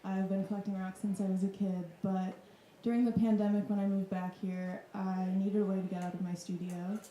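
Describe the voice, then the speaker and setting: Mellow-voiced woman artist in her thirties. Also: mid-range voice, level tone, fairly quick, a little hiss or hum. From Wisconsin, US. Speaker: artist guest. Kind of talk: casual reflective talk. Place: club room with audience. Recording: echoey room.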